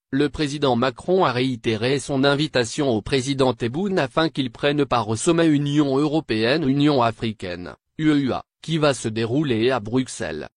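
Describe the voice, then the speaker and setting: A man's voice: mid-range voice, no room sound, studio quality. A man narrating scripted news in a formal way, reading written copy as a voice-over.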